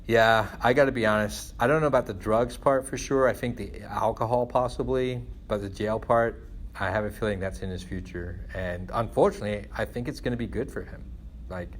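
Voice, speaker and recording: Middle aged guy venting casually, kinda low voice, rambles, noisy room recording. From born in England to Guyanese parents of Indian descent. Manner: rambling, repeats "you know" and "like".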